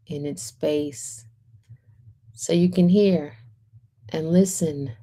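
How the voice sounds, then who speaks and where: A woman hosting a storytime as a reverend, speaking in measured short phrases as she welcomes, guides and reads aloud. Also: on the low side, dark tone. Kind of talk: gentle guided centering and picture book read-aloud.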